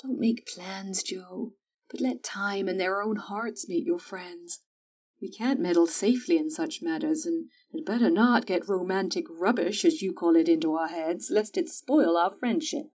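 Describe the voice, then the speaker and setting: A woman playing a calm, wise mother of four daughters, who speaks in a soothing, measured way. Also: lowish voice, distant mic, muffled recording. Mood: gentle.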